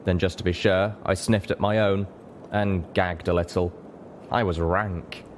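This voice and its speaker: A man's voice: sits low, steady tone, a little slow, a little hiss or hum. A man reading a story aloud as scripted dramatic narration. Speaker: audiobook narrator.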